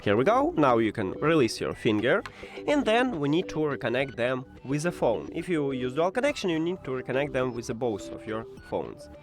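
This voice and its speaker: A man who is a non-native English speaker presents a step-by-step tech how-to in an informal, instructional way with hesitant pauses. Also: medium pitch, sing-song, music in the background. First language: not English.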